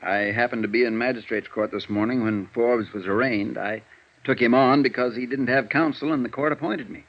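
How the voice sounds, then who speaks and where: An American actor playing a tired, amiable middle-aged lawyer with a rambling, folksy manner in a vintage radio drama. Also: male voice, mid-range voice, steady tone, brisk, close to an everyday voice. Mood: wry, idle. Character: tired, seedy, affable male lawyer about forty. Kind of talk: 1950s radio acting. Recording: muffled vintage radio recording.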